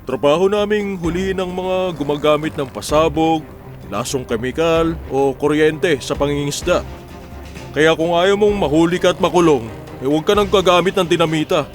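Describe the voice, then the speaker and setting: A man's voice: a little high, normal speed, soft background music, lightly acted. A man acting an insistent, shrewd village character in a Filipino radio drama. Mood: firm warning.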